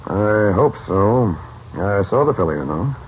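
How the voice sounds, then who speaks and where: Mystic uncle in old radio serial, lowish steady voice, in charge, muffled hissy sound. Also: male voice, measured pace. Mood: composed. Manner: composed, authoritative, reassuring. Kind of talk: 1940s radio acting.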